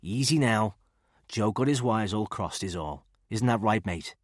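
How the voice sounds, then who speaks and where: A chummy, oozy ex-para with a thick Scouse accent, put on by a male narrator, his voice lifted high and loud over a low, husky base. The recording is close and dry. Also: expressive, unhurried, dry recording. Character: affable scouse ex-para male, smooth-talking.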